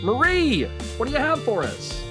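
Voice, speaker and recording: A man hosting a comedic quiz show, announcing in a drawn-out, theatrical way. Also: extremely high pitch, huge pitch swings. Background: plucked guitar music.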